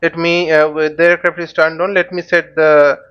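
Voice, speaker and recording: A man giving an unscripted step-by-step tutorial as an instructor, halting and thinking aloud, often posing questions and answering them himself. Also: on the high side, little pitch movement, a bit bright, smooth, muffled recording. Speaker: flight simulator instructor. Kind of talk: unscripted tutorial with live demonstration. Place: voice-over recorded while using a flight simulator.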